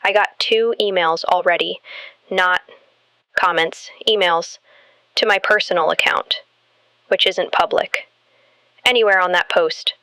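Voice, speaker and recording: Woman playing anxious camper, bright clear voice. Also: on the low side, unhurried, long pauses, no room sound. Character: shaken young female camper with troubling news. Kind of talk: radio drama, call-in show caller.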